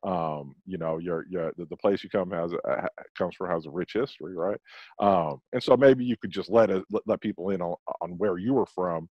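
A man co-hosting over a video call on a headset, talking in halting bursts with repeated restarts. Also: low voice, dry recording, dull audio. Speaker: podcast co-host. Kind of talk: casual video-call chat.

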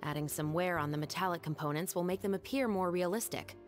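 An actress voicing a cold, dignified female musketeer who gives calm, firm instructions. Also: on the low side, quick pace, soft background music, close to an everyday voice. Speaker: weapons instructor in a game cutscene.

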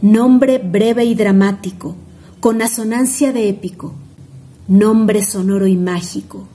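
A young woman with a Mexican accent recites poetry, savoring each word with a rhythmic, expressive delivery. Her voice is mellow and warm, close to a phone at home. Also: mid-range voice, takes it slowly, a little hiss or hum. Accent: Mexican Spanish. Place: home room indoors.

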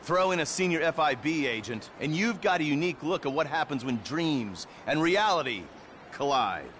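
A man's voice: fairly high pitch, lively intonation, normal speed, fairly bright tone, slight background noise. An actor playing a vain, cocky male federal agent who presents his own TV show in a pompous, melodramatic way. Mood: smug. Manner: pompous, self-satisfied, melodramatic. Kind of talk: hard-boiled TV presenting and off-camera bragging.

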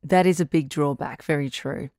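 A woman's voice: sits low, moderate pace, darkish tone, no room sound, studio quality, close to an everyday voice. A woman playing a frank, reassuring doctor who hosts a radio call-in advice show, encouraging and matter-of-fact in a conversational style. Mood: reassuring, practical.

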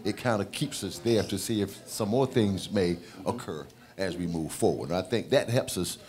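Low-voiced man, measured and reflective. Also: a little mellow. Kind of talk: casual panel interview.

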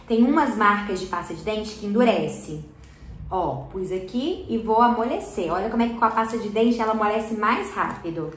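A woman in her thirties hosting a slime-making tutorial for kids, chatty and encouraging, often checking in with her viewers as she mixes. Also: mid-range voice. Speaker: slime channel host. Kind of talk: hands-on craft tutorial for kids. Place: indoor studio corner packed with colorful slime products. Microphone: fixed camera at table, mid shot. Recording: roomy indoor echo.

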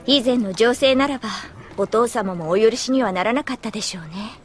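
A woman's voice: fairly high pitch, fairly quick, soft background music, lightly acted. A woman playing a refined, polite young princess in an anime audio drama, with genteel formal speech. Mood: composed.

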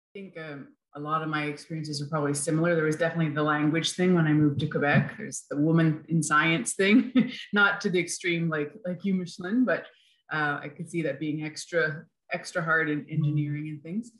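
A woman's voice: low-pitched, steady tone, fairly quick. A woman talking thoughtfully in a group discussion over a roomy, echoing video call.

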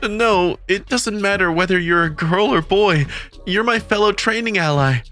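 A man's voice: sits high, moderate pace, lightly acted. A man playing an earnest, kindly teenage boy who narrates and speaks in an English-dubbed manga romcom. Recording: music behind the voice.

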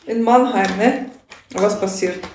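A young woman with a Ukrainian accent talks slowly and haltingly into a phone held at arm's length. She chats in a loose livestream rant, often checking in with the listener. Her voice carries a roomy echo from the indoor space. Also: medium pitch, a little mellow, a little hiss or hum. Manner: halting broken German, often asks "weißt du?". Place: indoor room with a sofa.

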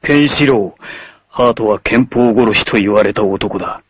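An actor playing an arrogant, obsessive warlord villain in a 1980s anime, haughty, menacing and theatrical in delivery. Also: male voice, fairly low pitch, normal speed, breathy edge, dry recording. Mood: gloating arrogance. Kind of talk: dramatic 1980s anime voice acting.

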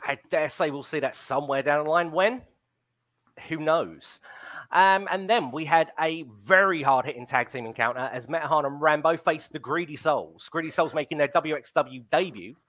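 A man's voice: a little high, moderate pace, a bit bright. A man in his thirties hosting a wrestling show on a video call, chatting casually and reading out viewer comments with a headset mic. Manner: reads viewer comments, many ums. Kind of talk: casual live-stream commentary chat. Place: home room on a video call.

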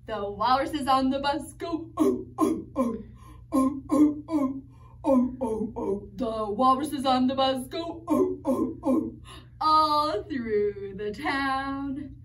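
High-voiced woman singing for children. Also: slow pace. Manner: cheerful sing-along with playful animal and robot sounds. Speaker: children's music teacher. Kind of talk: singing children's song lesson. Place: studio set with plants and toys, indoors. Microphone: camera a few metres away. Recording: distant mic, faint room noise.

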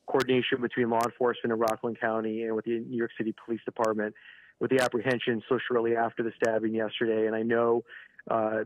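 Fast-talking man on a noisy phone call. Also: mid-range voice. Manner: halting, repeats words, often says "you know". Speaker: regional director of an advocacy organisation, interview guest by phone. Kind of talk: live news phone interview. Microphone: telephone. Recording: phone call with clear background noise.

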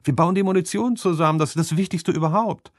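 A man's voice: high-pitched, quick pace, dry recording, studio quality, voice pushed up, natural voice. A man voicing a cocky teenage apprentice boy who lectures boastfully about his work.

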